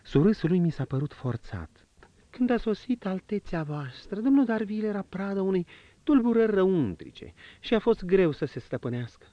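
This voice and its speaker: A man's voice: high voice, sing-song, no room sound, pitched above the performer's own voice. A man voicing an emotional young noblewoman in a dull lo-fi audiobook reading. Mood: earnest.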